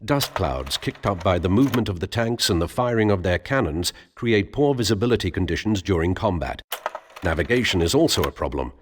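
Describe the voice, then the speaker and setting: A man narrating military history in a measured, grave, formal documentary style for a game. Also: sits low, expressive, a little hiss or hum. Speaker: narrator of war history briefings.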